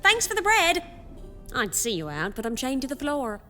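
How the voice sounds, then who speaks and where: Sweary irish pirate woman in jail, high sharp voice, blunt and excitable, audio drama. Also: normal speed, soft background music, exaggerated voice. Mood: wary, sardonic. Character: hot-tempered, foul-mouthed female pirate chained in a prison cell.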